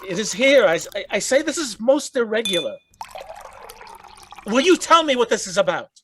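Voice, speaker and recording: Higher-voiced, bewildered gentleman doctor. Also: big pitch swings, moderate pace, slight background noise, exaggerated voice. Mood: wary, indignant. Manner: formal, fussy, hesitant when alarmed. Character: proper, bewildered gentleman doctor Lanyon, easily alarmed. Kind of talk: gothic radio drama acting.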